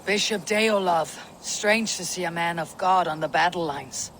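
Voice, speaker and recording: An actor playing a bold, battle-hardened Viking warrior leader in a video game cutscene. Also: male voice, high voice, measured pace, fairly bright tone, slight background noise, low-quality recording. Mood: firm.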